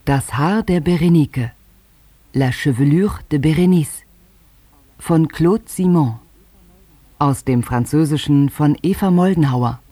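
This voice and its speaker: A man makes formal scripted announcements for a radio drama. His delivery is slow and soft-toned, in a dry, close sound. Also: on the high side, dry recording.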